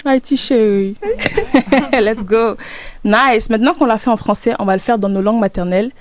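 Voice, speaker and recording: A woman's voice: on the high side, normal speed, no echo. A young woman from Cameroon hosting a casual podcast panel, upbeat and laughing often as she hunts for words. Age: young adult. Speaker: podcast host.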